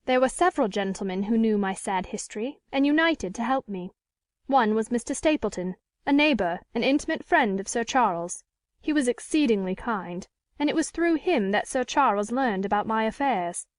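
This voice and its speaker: Woman acting a high-voiced, proud, defiant lady. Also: lively intonation, moderate pace, no room sound, clean studio sound, close to an everyday voice. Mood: earnest, composed. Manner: indignant, emphatic, formal. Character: proud, defiant woman with an unhappy secret past. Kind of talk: dramatic audiobook character reading.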